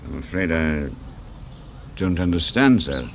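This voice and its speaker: An actor playing a guarded, deferential police constable in a radio mystery, speaking tersely and with cautious politeness. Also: male voice, rumbling low, lively intonation, unhurried, creaky, a little hiss or hum, heavily acted. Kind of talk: radio mystery drama acting.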